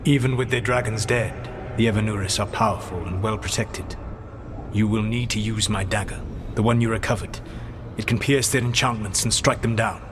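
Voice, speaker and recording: Ancient elven male mage for a fantasy game, played by a man: lowish pitch, grave formal delivery, clearly noisy backdrop. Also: fairly even intonation, lightly acted. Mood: solemn warning. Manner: measured, formal, solemn. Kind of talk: scripted fantasy game dialogue.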